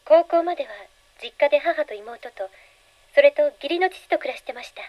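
A woman's voice: sits high, lively intonation, normal speed, a little mellow, poor audio. A woman playing a troubled model in her twenties in a radio drama, hesitant and dreamy. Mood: subdued. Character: troubled twenty-four-year-old female model in therapy.

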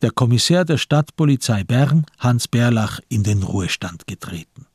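Husky male narrator of a crime drama. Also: mid-range voice, animated, moderate pace, darkish tone, dry recording, studio quality. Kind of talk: scripted literary narration for radio crime drama.

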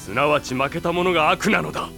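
An actor playing a cold, arrogant young villain in a Japanese anime audio drama, theatrical and condescending. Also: male voice, a little high, a little fast, gravelly. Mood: gloating, sneering. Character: cold, arrogant young male villain serving an evil master. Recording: dramatic music behind the voice.

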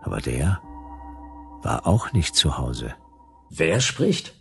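A man playing a world-weary, dogged private detective in a sci-fi radio drama, with a husky, brooding, terse and wry delivery. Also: a bass voice, very animated, slow, a bit bright, faint music behind, exaggerated voice. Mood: weary narration. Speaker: lead actor narrating and playing a detective. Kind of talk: German radio drama acting, first-person noir narration.

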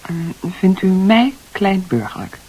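A modest, bewildered young-hearted nurse in a radio drama. Her voice is extremely breathy and soft at a middling pitch, and she feels her way shyly through halting phrases. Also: very animated, full character voice, rough recording. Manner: hesitant, soft-spoken, halting. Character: shy, modest, bewildered nurse being courted. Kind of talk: Dutch radio play acting.

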